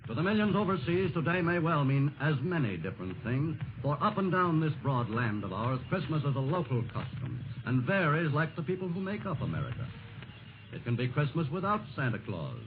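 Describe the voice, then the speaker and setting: A man narrating a scripted 1940s radio broadcast in a solemn, rhetorical manner. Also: mid-range voice. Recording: scratchy, muffled 1940s radio broadcast, loud noise.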